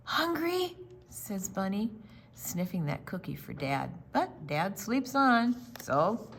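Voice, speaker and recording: Female storytime reader: unhurried, very animated pitch, gentle bedtime narration, slight background noise. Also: gravelly. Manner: gentle, dramatic pauses, drawn-out words. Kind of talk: reading a picture book aloud for young children.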